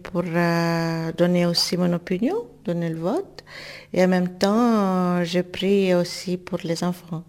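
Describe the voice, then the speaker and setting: A woman in her fifties from Italy, answering interview questions in a soft, warm manner. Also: lowish voice, fairly even intonation, a little slow. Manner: soft-spoken, warm, laughs easily.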